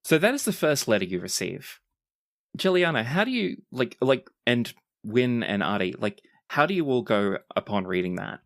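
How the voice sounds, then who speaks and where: Game master, mid pitch, dry close recording, laying out rules and scenes for players. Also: sing-song, moderate pace, no room sound. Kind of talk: explaining tabletop game rules and narrating.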